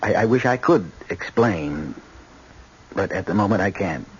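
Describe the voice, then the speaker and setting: Halting, low-voiced middle-aged judge. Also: male voice, animated. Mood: pleading. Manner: halting, stammering, hesitant. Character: troubled middle-aged judge, apologetic and earnestly pleading. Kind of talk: 1970s radio drama acting. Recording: dull, noisy old radio broadcast.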